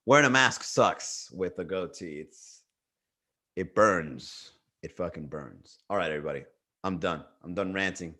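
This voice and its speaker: A man's voice: low voice, measured pace, pushed and bright. A man with a Miami accent hosting a comedy livestream, riffing loosely and joking with his chat. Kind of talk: casual livestream stand-up riff.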